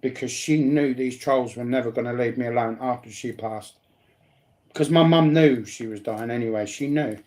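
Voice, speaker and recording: A man's voice: mid-range voice, moderate pace, a little mellow. A man talking personally and bluntly, repeating phrases to drive his points home. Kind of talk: emphatic personal talk, partly confrontational.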